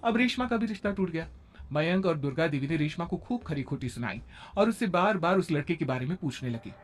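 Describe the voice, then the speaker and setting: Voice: medium pitch, animated, a little fast, slight background noise. A narrator reading a scripted family moral story.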